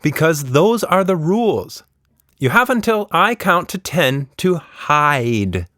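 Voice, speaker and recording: A man's voice: on the high side, very animated, slow, pushed and bright, dry recording, studio quality, pitched above the performer's own voice, exaggerated voice. Man playing an earnest, headstrong little girl. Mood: bossy.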